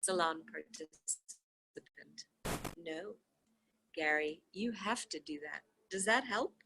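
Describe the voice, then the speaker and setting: A woman's voice: on the low side, animated, slow, creaky, background noise. A woman reading a conversational self-help book aloud, voicing its questions and answers with expression. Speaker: reader of a book read-along.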